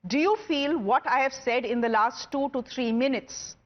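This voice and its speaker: High-pitched Indian woman news anchor, emphatic. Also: highs cut off. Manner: emphatic, rhetorical questioning with dramatic pauses. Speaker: TV news anchor and debate host. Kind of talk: scripted news monologue to camera.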